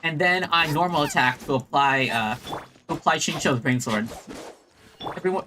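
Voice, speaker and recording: A man giving casual live gameplay commentary, halting and often repeating his phrases. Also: fairly high pitch, expressive, pushed and bright, slight background noise. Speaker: game streamer.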